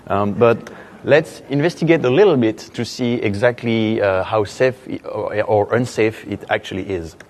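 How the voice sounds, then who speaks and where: A man's voice: fairly low pitch, moderate pace, a little mellow, a little hiss or hum. French-accented man giving a tech talk. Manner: conversational, self-deprecating, many fillers. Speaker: conference speaker. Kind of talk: technical conference talk. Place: conference hall.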